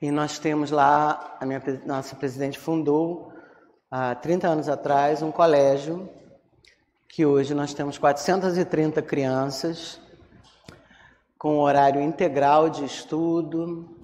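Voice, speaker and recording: A woman's voice: low-pitched, fairly even intonation, measured pace, a little mellow. A woman with a Rio de Janeiro Brazilian accent gives an informal religious talk, conversational and full of check-in questions to her listeners. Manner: conversational, acts out inner complaints, frequent check-in tag questions. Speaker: Spiritist lecturer. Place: reverberant hall, public talk. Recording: long hall reverberation.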